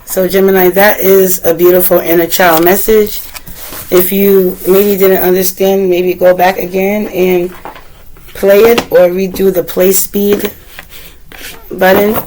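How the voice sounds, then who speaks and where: A woman tarot reader with a deep, slightly rough voice, still getting over a cold, talking gently and meandering as she speaks to the viewer and reads aloud from a guidebook. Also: little pitch movement, measured pace, a little hiss or hum, rough recording. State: recovering from a cold. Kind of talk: casual tarot reading, partly read aloud from a guidebook.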